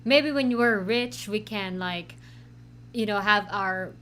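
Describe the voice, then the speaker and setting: A woman co-hosting a casual podcast, speaking in an easygoing way with lots of gentle fillers. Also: medium pitch, slow, a little hiss or hum. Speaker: podcast co-host.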